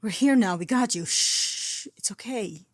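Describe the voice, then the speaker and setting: A woman voicing a calm, gentle male scientist, giving hushed and soothing reassurance in an audio story. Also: medium pitch, a little slow, no echo, pitched above the performer's own voice, lightly acted.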